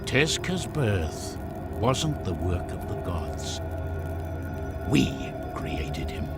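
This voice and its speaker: Man playing a slow, grave shaman master. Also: lowish voice, very animated, pitched below the performer's own voice, heavily acted. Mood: remorseful. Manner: grave, deliberate. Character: wise solemn shaman master confessing to his pupil. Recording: loud music under the voice.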